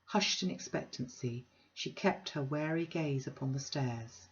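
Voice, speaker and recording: A woman reading a classic novel aloud at a measured, unhurried pace with quiet pauses. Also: rumbling low, highs cut off. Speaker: audiobook reader.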